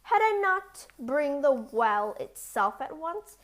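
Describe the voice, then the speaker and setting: A woman's voice: high voice, big pitch swings, takes it slowly, a bit bright, full character voice. A woman voicing a small, sly, boastful male tailor in a storybook, with a cheeky, showy manner. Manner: ostentatious, cheeky.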